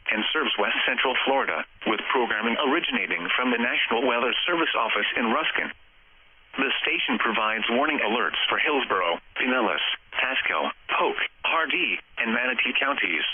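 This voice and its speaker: A man's voice: medium pitch, husky. A synthetic American man's voice reading a scripted weather bulletin formally and impersonally as a radio announcer, over a radio transmission. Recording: muffled, phone-like weather radio broadcast. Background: radio feed.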